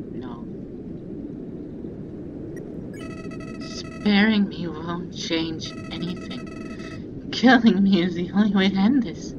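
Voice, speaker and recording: A woman's voice: medium pitch, highs cut off, noisy background. A woman voicing a small, menacing talking flower from a game, theatrical and mocking, swinging between cutesy and cruel. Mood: bitter threats. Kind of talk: dramatic villain monologue from a game.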